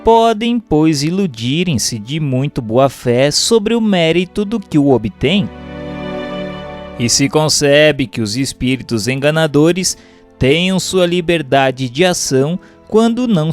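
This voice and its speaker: A man with a Brazilian accent reads aloud as a scripted audiobook narrator, in a formal, measured, didactic manner. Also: a little high. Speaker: narrator reading a spiritist book aloud.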